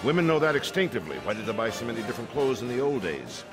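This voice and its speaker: A man's voice: mid-range voice, very animated, racing, natural voice. A man playing a middle-aged, powerful and patronizing commander in a modern audio drama, self-assured and condescending. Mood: smug, expansive. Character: smug, powerful male commander, furtive and patronizing. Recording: loud music bed behind voice.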